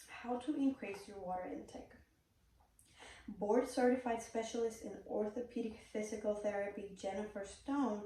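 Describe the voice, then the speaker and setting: A woman's voice: medium pitch, warm tone, a little hiss or hum. A woman from Puerto Rico with a Puerto Rican Spanish accent, a native Spanish speaker, giving a prepared persuasive speech in an earnest, direct way with a few "um"s. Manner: earnest, direct, occasional "um" fillers. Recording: very echoey room, mic far off.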